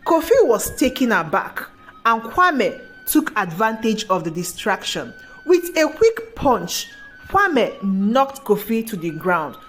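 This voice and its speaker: A woman's voice: fairly high pitch, sing-song, slow, a bit bright, soft background music. A woman narrating a scripted folktale as a storyteller.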